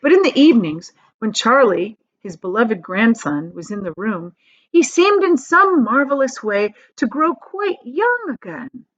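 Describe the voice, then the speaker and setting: A woman reading a children's story aloud as an expressive narrator, phrasing it deliberately. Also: on the high side. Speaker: storybook reader, teacher. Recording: slightly dull, lo-fi sound.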